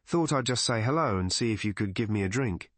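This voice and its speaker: A man voicing a brisk, sociable police colonel in a mystery audiobook, with informal, clipped phrasing. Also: lowish voice, expressive, no room sound. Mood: brisk, friendly.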